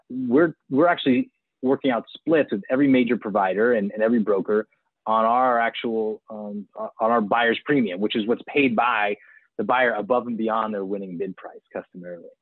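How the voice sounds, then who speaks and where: A man in his forties pitches his business eagerly over a video call, stumbling through restarts as he goes. His voice sits low, and the audio is thin and phone-like. It sounds dry, with no room in it. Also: moderate pace, no room sound. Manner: eager salesman, restarts and fillers. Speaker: auction platform executive.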